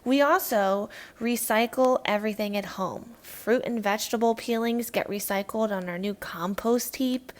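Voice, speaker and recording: A woman reading a children's picture book aloud in an expressive storytelling style. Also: a little high, normal speed, hardly any pauses. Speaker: read-aloud narrator.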